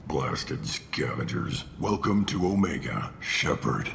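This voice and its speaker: A man playing a gruff, menacing alien enforcer in a video game, with a gravelly, commanding delivery. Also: extremely low pitch, big pitch swings, slow pace, fairly bright tone, heavily acted. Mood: curt. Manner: curt, commanding. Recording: echoey hall, faint noise.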